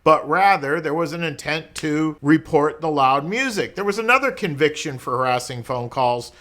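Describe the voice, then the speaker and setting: A man's voice: fairly high pitch, lively intonation, normal speed, a bit bright. A man speaking as a lawyer in an informal explainer, conversational and colloquial, often posing rhetorical questions. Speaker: criminal defense lawyer explaining law. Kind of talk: informal explainer talk to camera.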